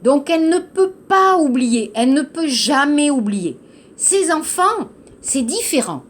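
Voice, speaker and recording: A woman teaching to learners, explaining a text slowly and patiently, repeating key phrases and checking understanding. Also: high-pitched, big pitch swings. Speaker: French teacher. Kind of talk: slow classroom explanation to learners.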